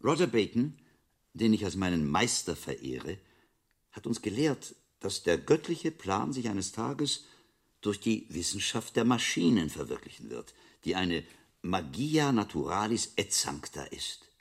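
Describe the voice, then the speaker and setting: A man's voice: deep voice, very animated, unhurried, vocal fry, breathy edge, heavily acted. A male actor playing a learned, assured friar in his fifties who teaches expressively in a radio play. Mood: learned enthusiasm. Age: 50s.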